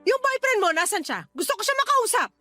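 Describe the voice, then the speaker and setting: An angry Filipino older sister in a radio drama. Her voice sits extremely high as she interrogates and scolds like the family breadwinner. Soft music plays underneath. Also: very animated, fast, full character voice. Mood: furious scolding. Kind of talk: Tagalog radio drama acting. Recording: faint music behind the voice.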